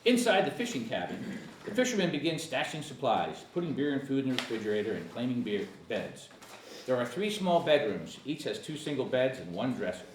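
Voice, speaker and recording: A man's voice: medium pitch, very animated, a little fast. A man reading scripted stage directions as narrator at a screenplay table read. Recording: echoey room, distant mic, faint noise.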